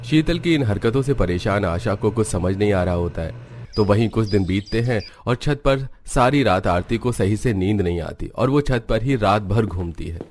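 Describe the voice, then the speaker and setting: Low-voiced male storyteller. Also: lively intonation, normal speed, darkish tone, a little hiss or hum. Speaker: narrator. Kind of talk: scripted story narration for a cartoon.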